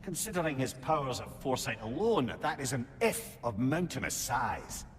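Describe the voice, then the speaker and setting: An actor with a Scottish accent playing a witty, wordy old sage, a talking head, in a video game drama. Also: male voice, mid-range voice, big pitch swings, normal speed, noisy background, close to an everyday voice. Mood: concerned. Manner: wordy, wry, colloquial cursing. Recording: game music behind the voice.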